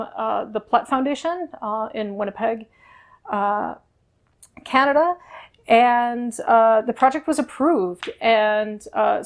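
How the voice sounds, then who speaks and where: A woman in her thirties from Texas, explaining a project in an unscripted, thoughtful way with frequent pauses between phrases. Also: fairly high pitch. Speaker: project co-creator, poet and researcher. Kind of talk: unscripted recorded discussion, explanatory.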